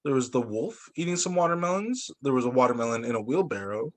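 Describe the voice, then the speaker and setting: A man working as a teacher, reading children's storybooks aloud in an expressive way. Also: mid-range voice, fast. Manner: expressive, acts out dialogue for young children.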